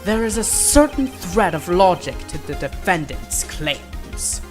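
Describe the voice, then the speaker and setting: A man's voice: sits high, big pitch swings, unhurried, over music. A man voicing a cool, haughty prosecutor with precise, pompous formality in a video game fandub. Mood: cool, calculating.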